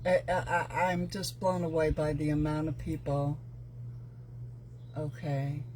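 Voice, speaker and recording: A woman hosting a live craft stream speaks in an unhurried, drawn-out way. She chats casually with her viewers and a guest, stopping often on small fillers. The sound comes through a computer mic on a live video call. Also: sits low, level tone, a little mellow, a little hiss or hum. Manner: chatty, reads out viewers' locations, halting with fillers.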